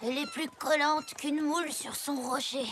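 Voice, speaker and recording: A woman's voice: high-pitched, moderate pace, fairly bright tone, slight background noise. A woman voicing a wry, playful young schoolboy in a children's cartoon, with a casual, slightly grumbly, cheeky manner. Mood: wry, grumbling.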